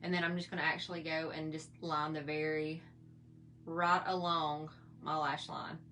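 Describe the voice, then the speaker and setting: Chatty, slightly hoarse woman doing makeup. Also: low-pitched, little pitch movement, moderate pace, sharp tone, a little hiss or hum. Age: middle-aged. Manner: chatty, rambling, folksy. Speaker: makeup vlogger. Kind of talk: casual makeup tutorial chat.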